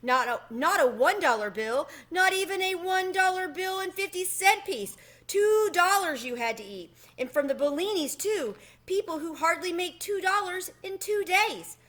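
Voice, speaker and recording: A woman voicing an excitable, scheming male city mouse in a storybook, melodramatic and full of exclamation. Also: very high voice, moderate pace, few pauses, voice pushed up. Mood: anguished.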